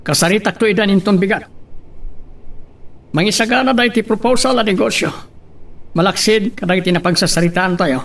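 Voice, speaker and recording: Man acting a high-voiced businessman boss. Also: fairly quick, creaky, long pauses, a bit bright, far from the mic. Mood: businesslike. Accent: Filipino, Ilocano. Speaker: actor in an Ilocano radio-style drama. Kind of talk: amateur audio drama acting.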